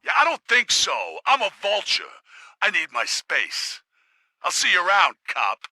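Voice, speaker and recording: A man's voice: high-pitched, huge pitch swings, unhurried, bright, forceful tone, dry recording, exaggerated voice. An actor playing a cocky criminal punk in a video game, taunting and mocking as he talks. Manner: mocking, wildly swooping delivery. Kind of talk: scripted game villain acting.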